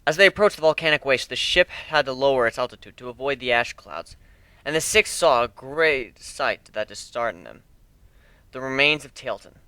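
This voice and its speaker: A man's voice: mid-range voice, expressive, moderate pace, pushed and bright, clean tone, no room sound. A man narrating fan fiction as a dramatic reading of a scripted story.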